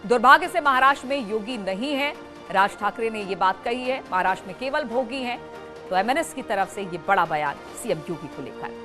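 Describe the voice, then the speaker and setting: A woman's voice: fairly high pitch, animated, brisk. A woman news anchor reading broadcast news in an emphatic, formal way, restating the key points. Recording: news music bed behind the voice. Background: news music.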